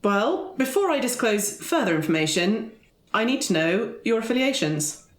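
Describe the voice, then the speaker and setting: A woman's voice: fairly high pitch, sing-song, moderate pace, reverberant. A woman playing a well-mannered, flustered but self-assured Victorian time traveller in a tabletop game. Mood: guarded.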